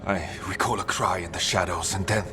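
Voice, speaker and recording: A solemn dwarven man from a fantasy video game voiced by a male actor. His voice sits fairly low, with formal, measured phrasing. Music plays clearly behind him. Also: steady tone, lightly acted. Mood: haunted. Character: grave, formal dwarven man rescued from underground. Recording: music under the voice.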